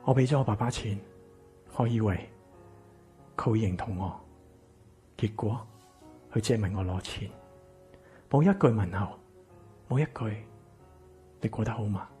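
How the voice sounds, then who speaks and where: A man's voice: lowish voice, a little slow, pauses often, warm tone, soft background music, natural voice. A man playing a kind, wistful man with family regrets in a Cantonese radio drama. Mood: sober, reflective.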